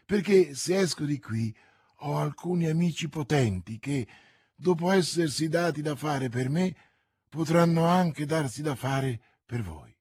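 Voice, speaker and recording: A man's voice: fairly high pitch, lively intonation, measured pace, a little mellow, slightly rough, no echo, clean studio sound. A man voicing a young, eager female convent novice who gushes with trusting enthusiasm in an audiobook. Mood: earnest. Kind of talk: audiobook character reading.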